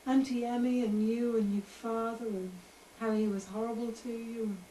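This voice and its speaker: A woman's voice: a little high, level tone, moderate pace, warm tone, echoey room, a little hiss or hum, poor audio. A woman interviewing an older relative, prompting and coaxing her with questions in a casual family chat.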